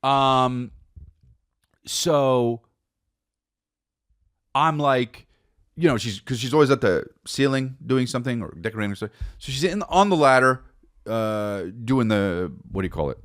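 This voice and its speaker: Man, American accent, comedic podcast monologue, swooping pitch, rambling and repetitive, casual, close dry recording. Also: a little slow, pauses often, no room sound. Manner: animated, rambling, repeats phrases for emphasis, swears freely. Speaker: podcast host telling personal stories.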